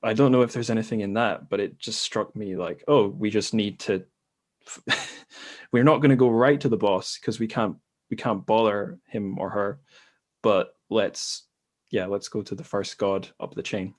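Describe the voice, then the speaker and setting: A man's voice: fairly low pitch, moderate pace. A man hosting a casual podcast discussion, talking in a reflective, anecdotal way. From a Western country. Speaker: podcast host.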